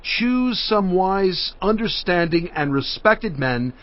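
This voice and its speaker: A man's voice: high voice, expressive, slow. An actor playing Moses as a fatherly, earnest leader addressing his people with oratorical weight in dramatized scripture narration. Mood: earnest, recounting. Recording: dull sound with music underneath. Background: music.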